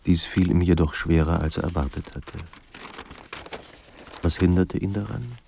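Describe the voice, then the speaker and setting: A man narrating a literary radio drama about a despairing writer in a grave, measured, introspective manner. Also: deep voice, creaky, mellow, slight background noise. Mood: brooding. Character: somber male narrator of a despairing writer's life. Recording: music under the voice. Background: music.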